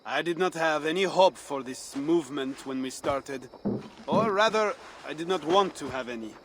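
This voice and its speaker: An actor playing a cynical French rebel leader in a video game, sardonic and guarded, with a French accent. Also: male voice, on the high side, moderate pace, background noise, close to an everyday voice. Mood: wry, reflective. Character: wry, cynical male French rebel leader, secretly softhearted. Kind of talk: dramatic video game acting.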